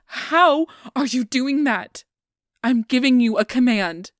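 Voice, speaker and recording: A woman's voice: sits high, lively intonation, slow, dry recording, clean studio sound. Woman acting a whispery, possessive, manipulative fairy. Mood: desperate, furious. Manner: whispery, commanding, swinging to pleading outbursts. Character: possessive, manipulative female fairy, imperious and desperate. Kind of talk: ASMR audio roleplay.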